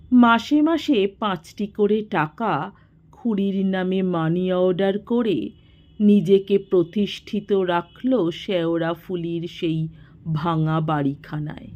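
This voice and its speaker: A woman reads a literary story aloud. Her voice sits a little low and she takes her time, shaping each phrase in a measured, expressive way. The recording is slightly dull and lo-fi. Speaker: audio story narrator.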